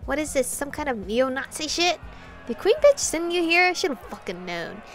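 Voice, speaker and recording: A woman's voice: sits high, very animated, normal speed, soft background music, pitched above the performer's own voice. A woman voicing angry, foul-mouthed vampire hecklers from a game, accusing and blunt.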